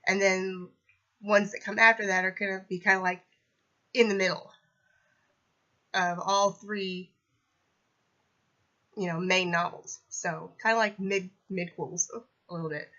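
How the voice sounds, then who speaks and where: A young woman with a bright voice and a slightly sniffly, stuffed-up sound, chatting casually to camera about her writing. She rambles, filling her sentences with ums, likes and you knows. The recording is dull and lo-fi. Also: mid-range voice, fairly even intonation, unhurried, long pauses. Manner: rambling, lots of fillers like um and you know. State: congested from a cold, sniffly. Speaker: self-published author vlogging. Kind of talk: casual update vlog.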